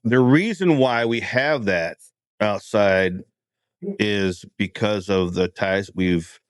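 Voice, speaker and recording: A man in casual podcast conversation, speaking in hesitant, halting phrases as he hosts. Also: fairly low pitch, clean tone, no echo, studio quality.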